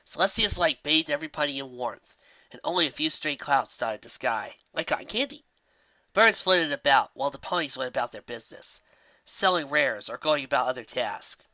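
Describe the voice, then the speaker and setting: Animated male storybook narrator, bright voice. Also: medium pitch, moderate pace, dry recording, muffled recording. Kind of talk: scripted fan fiction narration.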